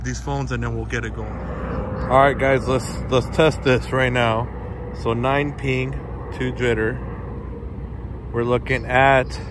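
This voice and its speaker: A man filming on his phone at night on a city street, casually reading out network speed test results to his viewers. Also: medium pitch. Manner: relaxed, reads out speed test numbers, addresses viewers as guys. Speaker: tech vlogger testing phone networks. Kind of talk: casual on-the-spot commentary to viewers. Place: outdoors on a city street at night near a stadium. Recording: outdoor phone recording with traffic noise. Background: passing traffic and street ambience.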